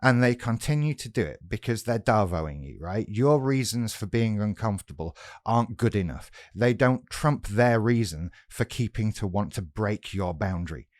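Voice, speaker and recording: Man, British accent, head cold, rough voice, low-ish pitch, frank, dry recording. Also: animated, normal speed, dry recording. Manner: frank and blunt, swears casually. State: has a cold, sounds rough. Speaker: mental health vlogger. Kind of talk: casual spoken monologue.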